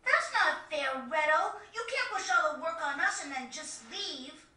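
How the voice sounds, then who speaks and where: A woman's voice: sits high, animated, normal speed, sharp tone. A woman voicing an earnest, eager, friendly young boy in a video game. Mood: indignant. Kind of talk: video game cutscene voice acting. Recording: echoey, slightly distant game audio.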